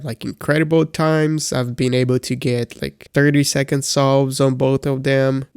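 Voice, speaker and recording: A man's voice: mid-range voice, vocal fry, darkish tone, clean tone, no echo, studio quality. A man with a congested voice giving a casual, hesitant review as a beginner, with frequent fillers and restarts. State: congested from a cold. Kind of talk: casual product review vlog.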